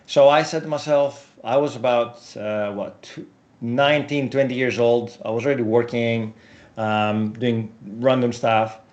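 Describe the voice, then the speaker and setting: Greek native, forties, man, interview guest, storytelling tone, slightly dull audio. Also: medium pitch. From Europe. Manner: storytelling, animated asides. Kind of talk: casual interview.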